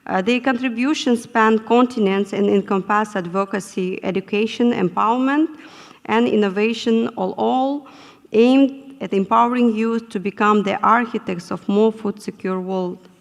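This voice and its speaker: Husky-edged woman moderating in a hall. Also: mid-range voice. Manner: measured, with frequent hesitations. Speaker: panel moderator. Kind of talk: formal conference moderation. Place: conference hall. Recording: echoey conference hall.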